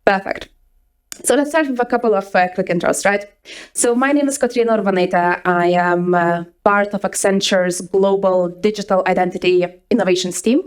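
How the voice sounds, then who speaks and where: A young woman from Lithuania with a Lithuanian accent, giving a lively conference talk with a personal story to a live audience. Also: medium pitch, normal speed, smooth. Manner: energetic, chatty, repeats words for emphasis. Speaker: conference speaker. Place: conference hall before an audience.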